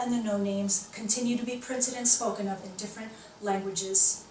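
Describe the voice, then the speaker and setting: Woman poet in an echoey room. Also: mid-range voice. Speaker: poet reading own work. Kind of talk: live poetry recitation. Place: indoor poetry reading, recorded from a distance. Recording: echoey room, distant mic, faint noise.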